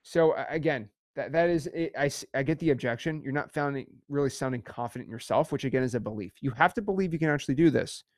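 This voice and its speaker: A young man teaching a casual livestream course with energetic, repetitive pep talk. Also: medium pitch, quick pace, few pauses, dry recording, studio quality.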